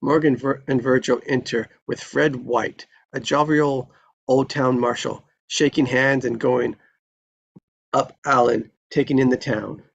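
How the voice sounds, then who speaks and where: Gravelly man narrating a screenplay. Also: medium pitch, steady tone, a little slow, dull audio. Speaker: stage-direction narrator. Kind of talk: scripted narration, live screenplay table read.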